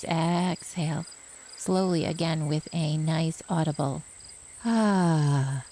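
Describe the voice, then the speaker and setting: Female yoga nidra guide, deep-pitched, gentle and unhurried, faint cricket-like ambience behind the voice. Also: creaky, a little hiss or hum. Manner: soothing, gentle, unhurried with long pauses. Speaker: meditation guide, yoga teacher.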